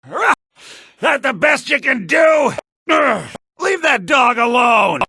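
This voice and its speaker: Fiery fantasy villager, high strained shouts, rough voice, video game combat barks. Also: male voice, sing-song, slow, dry recording, studio quality, heavily acted. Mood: aggressive taunting. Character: hot-tempered fantasy villager, taunting and yelling in a fight.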